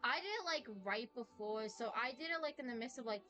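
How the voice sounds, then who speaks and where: A woman's voice: a little high, expressive, fairly quick, sharp tone, soft background music. A woman streaming a game with casual, rambling commentary, often saying "like". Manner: rambling, peppers talk with "like".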